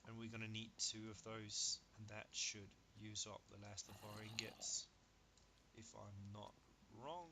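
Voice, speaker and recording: Excitable Danish man narrating gameplay. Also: on the low side, unhurried, gravelly, noisy background. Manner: excitable, marvels at results, counts aloud. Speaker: gaming video creator. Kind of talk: casual gameplay commentary.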